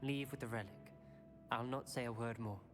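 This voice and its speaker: A man's voice: medium pitch, steady tone, moderate pace, music in the background, natural voice. A young man playing a wary, principled teenage schoolboy wizard in a video game, grave and pleading. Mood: wary resignation. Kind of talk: dramatic video game voice acting.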